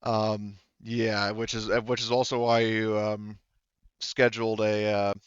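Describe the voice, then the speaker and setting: A man running a tabletop roleplaying game as game master, recapping in a halting way as he thinks aloud. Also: on the low side, flat delivery, smooth, dry recording, muffled recording.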